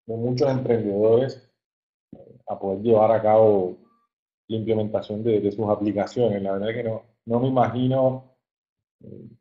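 A man in his thirties with a Colombian coastal accent giving an informal online presentation, haltingly, repeating words as he searches for phrasing. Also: fairly low pitch, steady tone. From Caribbean coast of Colombia. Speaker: webinar presenter, software engineer. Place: online webinar. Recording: muffled narrow-band online call audio.

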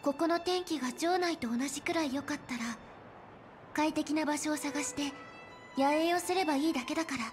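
A woman voicing a calm, practical young girl explorer in an anime game, soft-spoken and matter-of-fact. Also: sits high, fairly quick, music in the background, close to an everyday voice. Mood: calm, explanatory.

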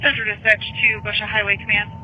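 A man's voice: high voice, flat delivery, quick pace, a little hiss or hum. A man working as an emergency dispatcher, giving formal announcements over a two-way radio. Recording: tinny phone-like scanner radio feed.

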